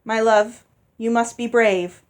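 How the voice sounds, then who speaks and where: A woman voicing a smooth-talking, placating father in a storybook, full of sweet endearments. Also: a little high, fairly even intonation, slow. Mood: grave.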